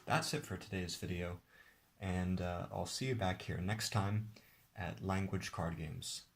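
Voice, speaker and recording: A man, the game's designer, walking viewers through how to play his card game in a relaxed, explanatory way. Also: deep voice, measured pace, gravelly. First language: English. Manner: relaxed, explanatory, with occasional restarts. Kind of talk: casual tabletop game demonstration.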